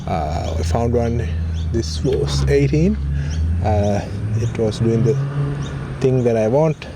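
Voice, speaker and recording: A man talks through a product in a casual, low-key way, taking his time between phrases. His pitch is average, and the delivery is plain and a bit hesitant. Clear vehicle noise sits behind the voice. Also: animated, slow. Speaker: vlogger demonstrating a product. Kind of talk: casual unboxing and install walkthrough. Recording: clearly noisy, vehicle ambience.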